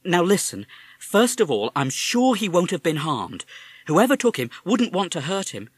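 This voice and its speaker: A man voicing a calm British mother who speaks in a soothing, reassuring way. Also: high-pitched, moderate pace, husky, no echo, studio quality, voice pushed up, heavily acted.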